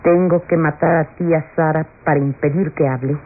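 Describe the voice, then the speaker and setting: A woman's voice: low-pitched, fairly even intonation, moderate pace, close to an everyday voice. Radionovela villainess, played by a woman: scheming, desperate, melodramatic self-talk. Narrow vintage radio sound with prominent music. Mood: desperate, menacing. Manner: melodramatic, talking to herself. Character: scheming, desperate murderous niece plotting to silence her aunt. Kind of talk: classic radio soap acting. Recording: tinny old radio recording, music behind.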